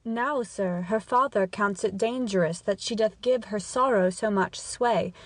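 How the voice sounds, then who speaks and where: A woman playing a courtly, confident young nobleman in a measured, formal Shakespearean dramatic reading. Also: medium pitch, dry recording, lightly acted.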